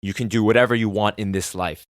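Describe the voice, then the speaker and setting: Podcast host, man in his thirties, Korean native speaker, earnest and excitable, dry close-miked sound. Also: lowish voice, fast, clear, resonant tone, no echo. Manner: earnest, excitable, swings from soft musing to loud swearing. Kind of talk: solo podcast monologue.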